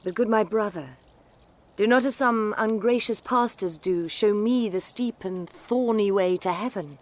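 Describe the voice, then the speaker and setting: An actress playing a dutiful, modest yet gently spirited young noblewoman in formal, measured Shakespearean verse. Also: medium pitch, close to an everyday voice. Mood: gently teasing. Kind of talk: Shakespearean stage acting.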